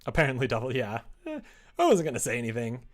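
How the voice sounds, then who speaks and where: A man chatting casually on a livestream as he works at a computer, thinking out loud and joking as he goes. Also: medium pitch, huge pitch swings, quick pace. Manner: musing aloud, joking, reads chat and drafts aloud. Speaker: streamer writing a visual novel. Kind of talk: casual livestream chat while writing. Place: indoors at a computer desk.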